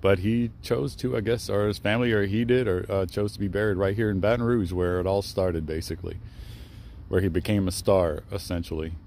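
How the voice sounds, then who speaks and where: Low-pitched young man, casual vlog monologue, rambling with fillers, hushed and respectful, outdoors, faint background noise. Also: steady tone, brisk, creaky. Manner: rambling, with many fillers and self-corrections. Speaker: travel vlogger. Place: outdoors in a cemetery.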